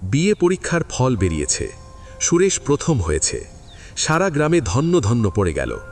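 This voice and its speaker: A man narrating an audio drama in scripted, expressive storytelling. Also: mid-range voice, moderate pace, slight background noise.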